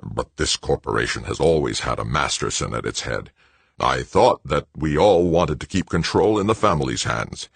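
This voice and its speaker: Guy doing a pompous old company chairman for an audiobook, blustery, really low and gravelly. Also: animated, moderate pace, no room sound, full character voice. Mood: indignant. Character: angry, scheming older male corporate chairman.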